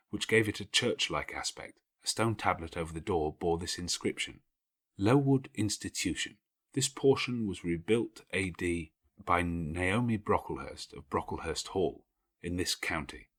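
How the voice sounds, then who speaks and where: A man reading a classic novel as an audiobook, in measured, expressive storytelling. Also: sits low, breathy edge. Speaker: audiobook narrator. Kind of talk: scripted literary narration of a classic novel.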